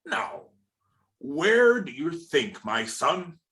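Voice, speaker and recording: An actor playing a sly, falsely fatherly old pirate in a radio play, halting and taunting. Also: mid-range voice, very animated, fairly bright tone, exaggerated voice. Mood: sly, mock-friendly.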